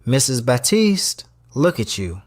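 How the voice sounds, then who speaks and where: A man playing a guarded, hardworking chef and restaurant owner in an audio drama, brisk and teasing. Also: mid-range voice, big pitch swings, no room sound, clean studio sound. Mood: guarded warmth.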